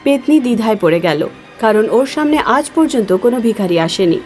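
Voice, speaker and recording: A woman's voice: a little high, lively intonation, a little fast, darkish tone, faint music behind. A woman reading scripted story narration as the narrator.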